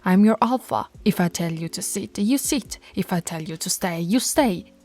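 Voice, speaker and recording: A woman voicing a stern, commanding female werewolf pack alpha in an ASMR audio roleplay, bossy and scolding, sometimes dropping to soft whispers. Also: on the low side, expressive, normal speed.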